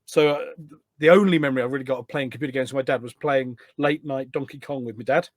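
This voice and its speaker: A man's voice: medium pitch, quick pace, no echo, studio quality. A middle-aged British man chatting casually as a podcast guest, rambling and correcting himself as he reminisces. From United Kingdom.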